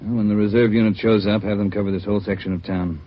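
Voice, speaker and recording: An actor playing a brisk police chief who gives orders to his detectives in a 1950s radio drama. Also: on the low side, little pitch movement, close to an everyday voice. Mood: commanding. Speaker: police captain in charge. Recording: muffled vintage radio broadcast.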